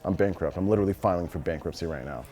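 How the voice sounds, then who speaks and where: Male, 20s, American, very low mellow voice, blunt and slangy interviewee. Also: very fast, faint music behind. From Los Angeles, United States. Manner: blunt, slangy, swearing freely. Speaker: interviewee, business owner. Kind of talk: casual interview answers.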